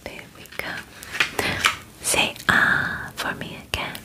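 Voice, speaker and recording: A woman in her twenties doing a softly whispered ASMR roleplay as a calm, methodical alien examiner, soothing and attentive, close to the microphone. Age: 20s. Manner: soft, unhurried, soothing, close personal attention. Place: small indoor bedroom studio.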